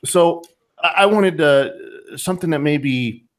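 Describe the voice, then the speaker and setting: A man's voice: on the high side, measured pace. A man hosting a podcast interview, winding through long setups full of fillers before asking his question. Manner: rambling setups with many fillers. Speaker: podcast host. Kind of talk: conversational interviewing.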